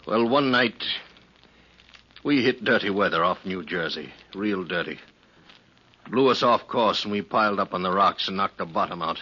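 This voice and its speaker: Low, forceful, lonely drifting sailor. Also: male voice, expressive, slightly rough, full character voice. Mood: somber, confiding. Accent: American. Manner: folksy, halting, plain-spoken. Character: grieving, lonely former ship's skipper drifting out West. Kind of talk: 1950s radio western acting. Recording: dull vintage 1950s radio recording.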